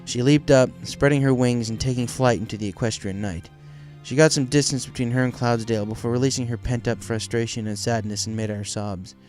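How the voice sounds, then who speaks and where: Male storybook narrator, mid-pitched voice, scripted reading with music underneath. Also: steady tone, brisk, a little mellow. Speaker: fan fiction reader.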